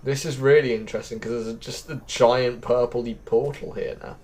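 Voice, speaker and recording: A man's voice: medium pitch, fairly even intonation, moderate pace, darkish tone. A man hosting a casual gameplay walkthrough, thinking aloud and talking straight to his viewers. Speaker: gaming video host.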